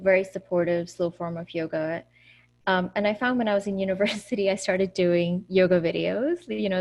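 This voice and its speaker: A woman from India in her thirties, a yoga teacher talking casually over a video call, laughing easily between fillers. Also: on the low side.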